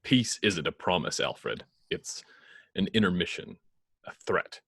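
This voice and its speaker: A brooding, paranoid vigilante with a very low voice. He speaks slowly and tersely, every phrase ominous and deliberate. The superhero-script delivery is captured dry and close. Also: expressive, a bit bright, slightly rough, no echo, full character voice. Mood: grim, paranoid. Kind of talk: table read of a superhero film script.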